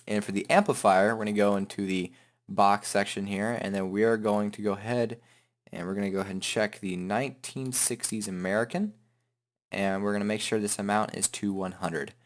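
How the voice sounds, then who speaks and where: A man hosting a software tutorial in an informal, joking, self-deprecating way. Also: lowish voice, steady tone, brisk. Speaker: tutorial host. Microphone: headset microphone.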